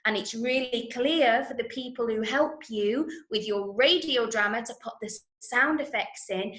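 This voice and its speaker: A woman from Manchester with an English accent teaching an online workshop to school pupils in a clear, emphatic, instructive manner, often checking they understand. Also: on the high side, a bit bright, echoey room, poor audio. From Manchester, England. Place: indoors, online video call.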